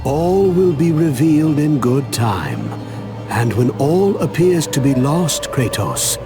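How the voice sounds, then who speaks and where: A man playing an eccentric old gravedigger in a video game, creaky-voiced, cryptic and teasing in a theatrical way. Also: a little high, big pitch swings, slow pace, over music, full character voice. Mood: cryptic, sly. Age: older. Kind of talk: video game cutscene acting.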